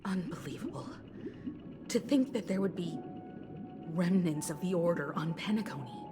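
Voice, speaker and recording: A woman's voice: on the low side, expressive, normal speed, close to an everyday voice. A woman playing a poised, firm female leader in a video game, cool, articulate and resolute. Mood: stern disbelief. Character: poised, firm adult female leader standing her ground. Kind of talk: dramatic video game voice acting. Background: background music.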